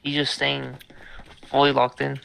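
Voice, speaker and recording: A man's voice: medium pitch, monotone, measured pace, vocal fry, sharp tone, clear, resonant tone, rough recording. A man bantering casually with friends while gaming, exclaiming and swearing over voice chat. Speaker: video game player.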